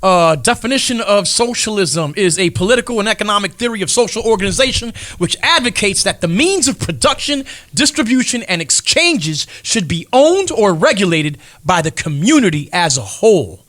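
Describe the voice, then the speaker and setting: A man co-hosting a faith podcast, explaining in a measured way with firm opinions. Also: high voice, sing-song, fairly bright tone. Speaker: podcast co-host.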